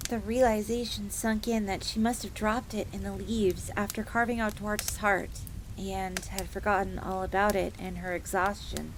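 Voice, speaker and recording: A woman's voice: medium pitch, level tone, normal speed, natural voice. A woman playing a devoted, eager fox maid who tells her story expressively in the third person, as in an audio drama. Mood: earnest storytelling. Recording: faint crackling fire noise behind voice.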